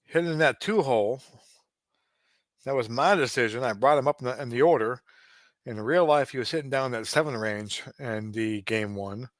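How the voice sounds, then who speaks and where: A man in his late forties doing casual play-by-play of a simulated baseball game, relaxed and chatty with frequent asides. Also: mid-range voice, moderate pace, no room sound, clean studio sound. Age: 40s. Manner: relaxed, chatty, given to asides and small restarts.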